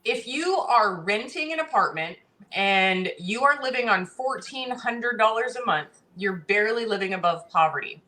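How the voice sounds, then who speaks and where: A woman in her forties speaks in a bright, pushing tone. She is blunt and emphatic, and she hammers her points with repetition in a casual interview. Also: mid-range voice, animated, normal speed, poor audio. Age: 40s. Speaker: interview guest.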